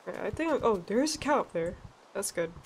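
A young woman from Sweden with a stuffy, nasal voice, chatting casually and laughing as she narrates a relaxed gameplay session. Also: medium pitch, fast talker, slight background noise. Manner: chatty, rambling, laughs often. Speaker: let's play gamer.

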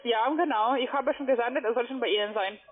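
A woman's voice: on the high side, fast, a loud crowd. A woman working as a call-center agent on a sales call, speaking broken German with non-native phrasing, insistent and reassuring, often repeating that she agrees. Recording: tinny phone line.

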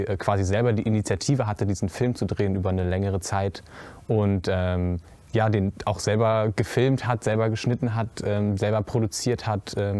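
Measured young man, mellow low voice. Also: no room sound. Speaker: youth panelist. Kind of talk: panel discussion. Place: indoor studio set with curtains.

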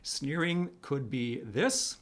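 A middle-aged man with a raspy voice, a priest giving a conversational video homily to the camera in a small room, reading scripture slowly. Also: medium pitch. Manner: conversational, animated hand gestures, reads scripture slowly.

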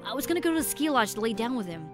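A woman voicing a caring, earnest penguin secret agent in a cartoon game story, speaking gently and casually. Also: a little high, very animated, rapid-fire, over music. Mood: warm, casual.

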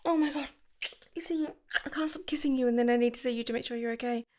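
A woman performing an affectionate ASMR girlfriend roleplay, gushing and squealing by turns, then dropping into soft whispers. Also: on the high side, fast, slight background noise.

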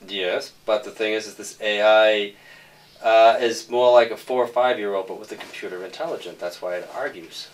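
A man's voice: on the low side, fairly even intonation, moderate pace, recorded from a distance, very noisy. A man casually explaining a story idea, quoting the characters' dialogue back and forth.

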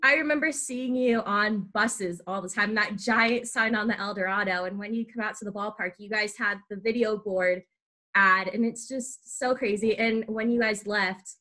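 A woman's voice: mid-range voice, normal speed, bright, forceful tone. A woman in her twenties hosting a casual interview over a video call, speaking in a gushing, enthusiastic way. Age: 20s. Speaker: podcast host.

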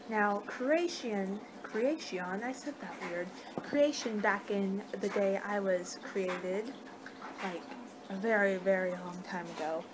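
A young American woman doing a casual ASMR roleplay as a vampire, rambling conversationally on a homemade webcam recording. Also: medium pitch. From United States. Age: young adult. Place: living room indoors at home. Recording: noisy amateur webcam recording.

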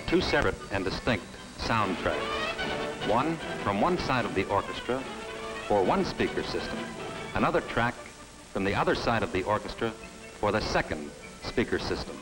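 A man's voice: very animated, low-quality recording. Emphatic middle-aged male film presenter. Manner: measured, emphatic sales pitch. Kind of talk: scripted promotional narration. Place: studio set. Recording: old film soundtrack with faint music.

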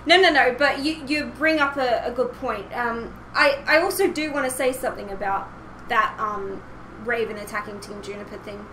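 A woman's voice: fairly high pitch, moderate pace, sharp tone. A young woman podcasting in casual fan chat from a room at home, rambling and hesitant as she goes. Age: young adult. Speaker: podcast host. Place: small home room indoors, online call. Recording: roomy, noisy headset recording.

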